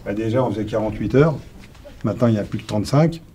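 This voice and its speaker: A man's voice: on the low side, quick pace, warm tone, a little hiss or hum. An older grandfather speaking in an interview, plain-spoken and informal, stressing his points with emphasis. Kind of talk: casual conversation.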